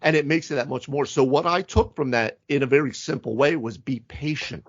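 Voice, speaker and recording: Emphatic mid-pitched man, slightly dull audio. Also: brisk. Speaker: podcast co-host, game master. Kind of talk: casual tabletop gaming discussion.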